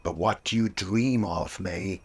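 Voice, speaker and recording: A man playing a gentle, encouraging mentor. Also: sits low, unhurried, over loud music. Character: calm male mentor urging a young woman on.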